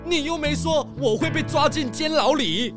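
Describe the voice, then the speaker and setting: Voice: high voice, moderate pace, over music, lightly acted. An actor playing a resentful accomplice of a thief, blunt and grumbling, in a children's audio drama.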